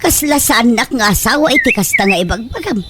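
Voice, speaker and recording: A woman's voice: very animated, fast talker. A woman playing an exasperated, scolding older wife in an Ilocano comedy radio drama. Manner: scolding, complaining. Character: nagging, exasperated elderly wife of a lazy husband. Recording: very noisy, vehicle-like background rumble.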